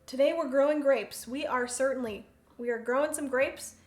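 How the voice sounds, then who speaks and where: High-voiced woman streaming in a roomy room. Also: normal speed, a little mellow. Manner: chatty, thinks aloud, laughs often. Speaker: host. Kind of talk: solo board game livestream commentary. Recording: roomy room echo, faint hum.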